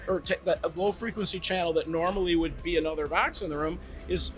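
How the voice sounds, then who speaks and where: High, bright-voiced man pitching gear. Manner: eager sales pitch, lively explaining. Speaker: brand representative demonstrating home theater gear. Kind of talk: product demo talk. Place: demo room at a trade show.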